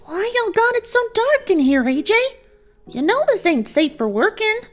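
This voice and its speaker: A woman's voice: very high-pitched, big pitch swings, moderate pace, faint music behind, voice pushed up. Woman doing a jumpy young country filly. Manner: rural drawl, jumpy. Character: young farm filly, nervous and spunky.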